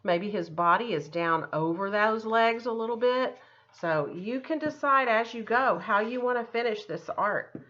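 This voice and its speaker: A woman's voice: a little high, lively intonation, unhurried, a bit bright, lo-fi sound. A woman art teacher talking children through a craft step by step in a chatty, encouraging, casual way. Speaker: art teacher giving a demonstration.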